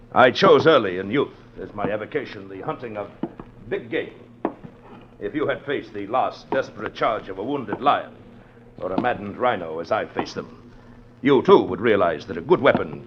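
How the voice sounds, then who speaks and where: An actor playing a pompous, menacing aristocratic big-game hunter in an old-time radio drama, with florid, formal speech. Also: male voice, lowish voice, lightly acted. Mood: smooth menace. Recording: muffled, noisy old radio recording.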